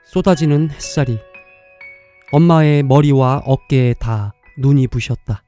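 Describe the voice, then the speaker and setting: A man narrating a scripted dramatic story in a measured, literary way, pausing between short phrases. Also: mid-range voice, dark tone, faint music behind.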